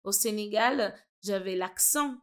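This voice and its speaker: A woman's voice: mid-range voice, unhurried, clear, resonant tone. A woman raised in Italy, interviewing a guest with frequent short affirmations and follow-up questions. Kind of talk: conversational interview.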